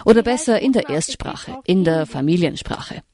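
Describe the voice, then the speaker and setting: Brief: female narrator for a radio feature, a little low, measured and reflective. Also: animated, warm tone. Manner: reflective, questioning, measured delivery. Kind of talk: scripted documentary narration.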